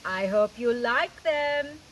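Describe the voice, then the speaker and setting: A woman's voice: fairly high pitch, lively intonation, slow, a bit bright. A woman voicing a kindly male jaguar from a children's storybook, proud and eager to please, with emphatic delivery. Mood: eager, hopeful. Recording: clear background noise.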